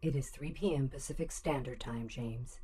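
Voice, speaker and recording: A woman in an audio drama playing a calm, obedient computerized home assistant with measured, formal phrasing. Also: extremely low pitch, breathy edge. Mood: calm, deferential.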